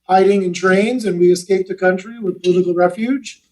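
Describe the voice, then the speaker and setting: Forties man, high voice, easygoing podcast guest, slightly off-mic. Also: fairly even intonation, a little fast, a little mellow, distant mic, low-quality recording. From West Los Angeles. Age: 40s. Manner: easygoing, chatty storyteller. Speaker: guest, real estate investment advisor. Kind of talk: casual podcast interview.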